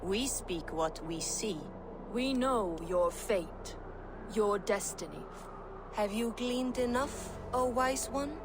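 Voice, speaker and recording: Women voicing the three ancient Norns, speaking prophecy slowly and solemnly in a video game cutscene. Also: female voice, on the high side, noisy background. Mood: ominous. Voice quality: slow, solemn, ethereal.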